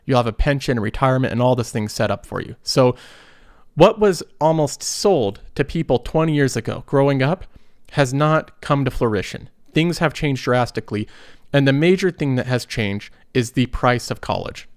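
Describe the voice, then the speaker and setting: A man's voice: medium pitch, expressive, normal speed, no echo, studio quality. Man with a cold talking personal finance. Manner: earnest, conversational, with restarts. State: recovering from a cold. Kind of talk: casual explanatory monologue.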